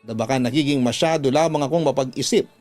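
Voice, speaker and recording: A man's voice: mid-range voice, fast talker, darkish tone. A man reading a first-person story as a scripted radio drama narration. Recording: background music under the voice.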